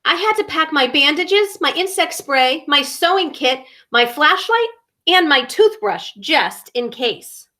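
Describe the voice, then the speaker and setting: A fretful male frog from a children's storybook, acted out by a woman reader. The voice is high and bright, with an anxious, questioning delivery that worries over everything. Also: animated, measured pace, exaggerated voice. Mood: worried.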